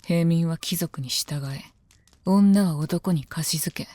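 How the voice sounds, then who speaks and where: A woman acts out a devoted female knight in an intimate audio drama. Her voice is on the low side and sits close and dry. She is composed, deferential and soft-spoken. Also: takes it slowly, no room sound. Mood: resigned, composed. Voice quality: calm, composed. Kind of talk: Japanese voice drama, intimate scripted dialogue.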